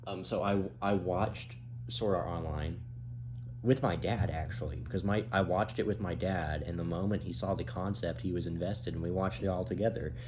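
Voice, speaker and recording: A young man in his twenties with a low voice rambles through casual commentary, stammering and restarting often. The recording is slightly dull, with a clear hum behind him. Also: fairly even intonation, moderate pace. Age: 20s. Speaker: YouTuber giving opinions. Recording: muffled mic with clear background hum.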